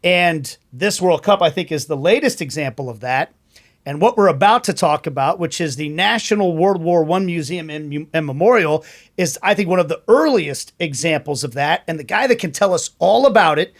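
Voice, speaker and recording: A man hosting a podcast who runs a trivia quiz in a bright, upbeat way. His voice sits fairly high. The recording is dry and close-miked. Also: animated, normal speed, no echo. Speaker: podcast host. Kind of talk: casual trivia quiz and show intro.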